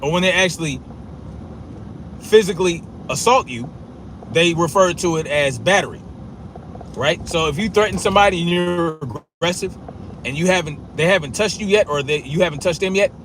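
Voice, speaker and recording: A man's voice: on the high side, moderate pace, slight background noise, low-quality recording. A man who stutters, giving a blunt, profane opinion monologue outdoors. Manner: forceful, profane, repetitive, with a stutter. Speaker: commentator.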